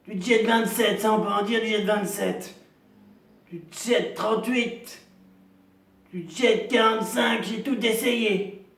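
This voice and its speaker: A gravelly, raised-pitch woman in her fifties, a chain-smoker and heavy drinker who clings to her dignity, as a man puts her on. She drags through her comic monologue slowly, rambling and repeating herself. The sound is roomy and echoey, caught from a distance. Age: 50s. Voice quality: gravelly, deep voice. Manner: slow, rambling, repetitive, self-pitying. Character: gravelly 55-year-old woman, chain-smoking hard drinker, proud and lonely.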